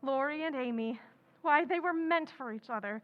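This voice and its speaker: A woman's voice: sits high, normal speed, dull audio, natural voice. An actress playing a passionate, headstrong young woman writer in an old-time radio drama, earnest and emphatic. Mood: brisk, insistent.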